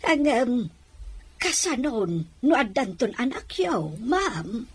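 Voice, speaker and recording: Attentive household nanny, husky and slow with lively intonation, deferential drama part, played by a woman. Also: a little high, exaggerated voice. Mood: concerned, admiring. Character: attentive household nanny addressing her mistress. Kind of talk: Ilocano audio drama acting.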